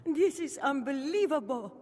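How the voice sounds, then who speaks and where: An actress playing a young wife in a radio drama, talking in an incredulous, questioning way. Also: female voice, high-pitched, expressive, measured pace, darkish tone, big-room sound. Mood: astonished. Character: young wife, shocked and bewildered. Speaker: wife character.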